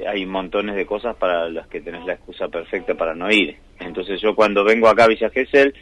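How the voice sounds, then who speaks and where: A man with an Argentine accent, a musician answering interview questions thoughtfully, with many pauses and fillers. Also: deep voice, a bit bright. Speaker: musician, band member.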